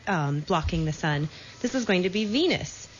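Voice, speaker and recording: A woman's voice: on the low side, animated, moderate pace, faint music behind. A woman co-hosting a radio talk show, presenting a news item in a chatty way with frequent ums. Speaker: radio co-host. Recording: dull muffled broadcast with faint noise.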